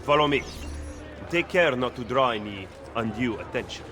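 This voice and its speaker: A man's voice: mid-range voice, expressive, background noise, close to an everyday voice. A man playing a stern, cautious political ally, speaking formally and curtly in a video game. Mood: guarded. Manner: formal, measured. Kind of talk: video game cutscene acting. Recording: game music behind.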